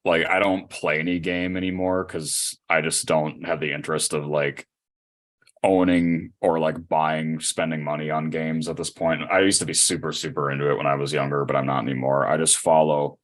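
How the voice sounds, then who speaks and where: A man in a casual podcast chat, explaining things in a halting way. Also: very deep voice, little pitch movement.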